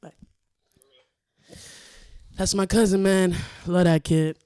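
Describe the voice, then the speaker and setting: A woman's voice: lowish voice, steady tone, takes it slowly, dark tone, clean tone, dry recording. A woman hosting a casual podcast, asking questions in a playful, easygoing way. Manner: playful, laughs often. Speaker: podcast host.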